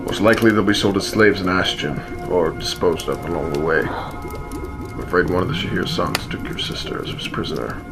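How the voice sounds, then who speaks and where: A man acting a wry, world-weary, gruffly protective rebel in a fantasy radio play, with a teasing, matter-of-fact manner. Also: deep voice, moderate pace. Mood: grave. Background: music under the voice.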